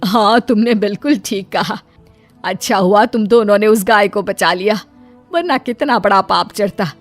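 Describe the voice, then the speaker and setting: A woman's voice: medium pitch, moderate pace, no echo, soft background music, close to an everyday voice. A woman voicing a homely, cautious elderly mother-in-law in a cartoon moral story. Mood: relieved, sympathetic.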